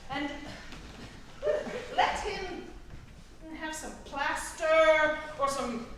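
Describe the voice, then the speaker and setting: A fussy, bossy troupe leader played by a woman with a very high voice and slow delivery, instructing with exasperation. It is stage comedy, picked up far off in a strongly echoing space. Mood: eager organizing. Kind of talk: staged reading of Shakespeare comedy. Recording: roomy echoing hall, distant mic, faint noise.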